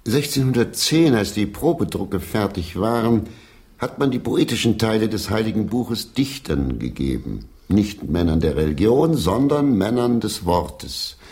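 An actor in a radio drama playing a sentimental, grandiloquent old stage player with a declamatory manner. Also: male voice, on the low side, sing-song, measured pace, darkish tone. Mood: reminiscing, solemn.

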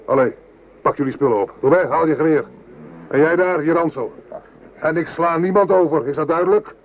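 A man's voice: a little high, a little fast, natural voice. An actor playing a French army man on the march in a radio drama. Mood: commanding anger. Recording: muffled, noisy old radio recording.

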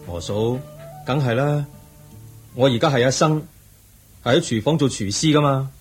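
A man plays a young orphaned prince hiding as a palace cook in a Cantonese radio drama. His Hong Kong voice is mid-pitched and very husky, with an earnest, plaintive manner. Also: moderate pace, faint music behind, lightly acted.

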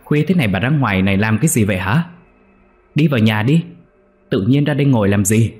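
A man's voice: lowish voice, expressive, fast talker, darkish tone, natural voice. Guy doing old village husband in a ghost story, anxious and caring, music behind. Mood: worried scolding. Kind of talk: dramatic horror audio story.

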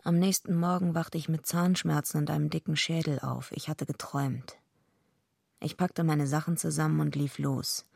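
An actress in a radio drama playing a tough, bitter, defiant female wrestler who narrates her own story, with a gravelly voice and a gruff, blunt manner. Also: deep voice, steady tone, moderate pace, darkish tone, no echo, studio quality, full character voice. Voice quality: gravelly, husky. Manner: gruff, blunt, sardonic, swearing. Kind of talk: radio drama, first-person noir narration and dialogue.

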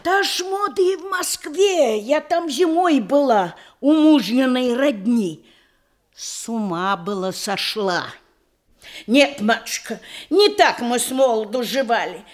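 Gushing high-voiced old matron. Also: female voice, animated, slow. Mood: merry, nostalgic. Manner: gushing, doting, old-fashioned folksy speech. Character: talkative, affectionate elderly country matron fond of old times. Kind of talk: theatrical radio comedy acting in verse.

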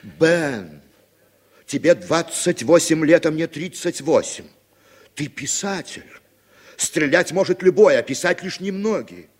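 An actor playing a worldly, pragmatic journalist in his late thirties, husky and persuasive, in Soviet radio drama style. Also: fairly high pitch, lively intonation, a little slow. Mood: urging. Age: 30s.

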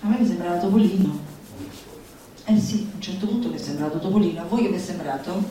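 A woman's voice: lowish voice, quick pace, mellow. A woman performing a live theatrical reading in a declamatory way, slipping into teasing, mock-schoolteacher banter with her audience. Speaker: stage performer reading a literary text. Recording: echoey hall, distant mic, audience present.

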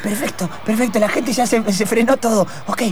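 A man's voice: high-pitched, a little fast, crowd noise. A man with a Rioplatense Argentine accent, improvising comic police dialogue, hesitant and repeating his phrases. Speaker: improv radio-theatre performer.

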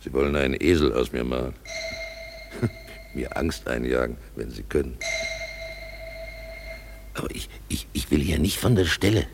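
A man's voice: a bass voice, normal speed, pauses often, music in the background. A man playing a blustering, self-assured simple craftsman who acts as an amateur in a comic German radio drama. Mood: puzzled, defiant. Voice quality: gravelly.

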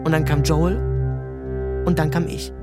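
A man's voice: fairly high pitch, level tone, measured pace. A man giving scripted literary narration as the narrator of an audio drama. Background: faint music.

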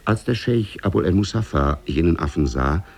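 A very deep-voiced storyteller tells an old oriental tale for radio. His husky, gravelly voice moves through the scripted narration with measured deliberation. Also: animated, a little mellow, heavily acted. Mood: narrating. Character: male storyteller of an Arabian Nights tale.

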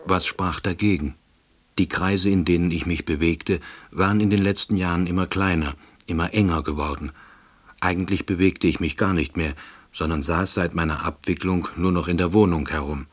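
An actor playing a withdrawn, middle-aged unemployed househusband who narrates a radio play in a dry, ruminative manner. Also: male voice, low voice, steady tone, moderate pace, slightly rough. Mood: brooding, resigned. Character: withdrawn, long-unemployed East Berlin househusband, quiet ruminator. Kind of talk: radio drama first-person narration.

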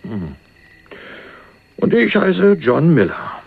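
An actor playing a cool, evasive young heir sitting in jail in a German radio crime drama, laconic and dryly ironic. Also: male voice, a little high, wildly swooping pitch, takes it slowly, gravelly, loud music behind the voice, full character voice. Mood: bantering, guarded. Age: young adult. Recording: dull lo-fi radio recording, faint noise.

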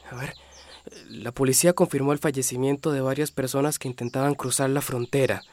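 An actor in a radio drama playing an earnest, anxious and excitable young man. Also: medium pitch, a little fast, lightly acted. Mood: reading gravely. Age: young adult.

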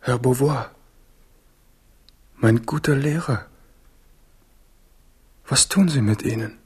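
An actor playing a captive young prince in a German radio drama, grave, earnest and measured. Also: male voice, mid-range voice, husky. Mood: anxious.

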